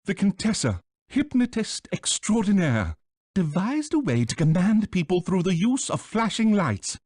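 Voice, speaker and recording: A man's voice: high-pitched, very animated, unhurried, a little mellow, dry recording, studio quality, exaggerated voice. A man playing a pompous, condescending, scheming small bird villain with a British accent in a video game, speaking theatrically. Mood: gloating, lecturing.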